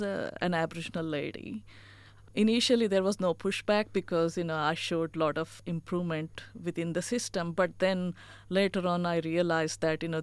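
A woman's voice: fairly low pitch, clean tone, no room sound. A woman from India with an Indian accent, speaking as a chief executive in an interview, earnest and full of "you know" fillers. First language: not English. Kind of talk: interview answers.

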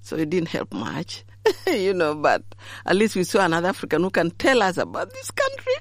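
Middle-aged Ugandan woman interviewee, lively storyteller who laughs through her anecdotes; dry, close sound. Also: on the low side, normal speed, no echo. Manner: warm storyteller, laughs often, acts out quoted voices.

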